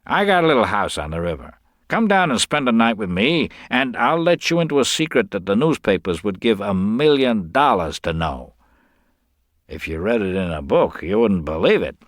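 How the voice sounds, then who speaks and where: An actor playing a smooth con man who feigns sincerity in folksy, earnest, confiding talk. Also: on the low side, big pitch swings, moderate pace, dry recording, studio quality. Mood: secretive, urgent.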